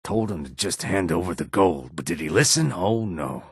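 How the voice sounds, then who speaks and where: A man voicing a reptilian Argonian assassin and outlaw in a video game, with a rasping, husky voice. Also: sits low, lively intonation, normal speed, no echo, clean studio sound, full character voice. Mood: muttering, grumbling. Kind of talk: video game character dialogue.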